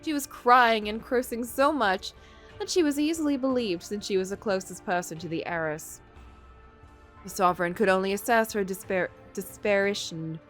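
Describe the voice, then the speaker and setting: A woman streamer reading a story game aloud, mixing expressive narration with casual asides. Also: mid-range voice, normal speed, soft background music.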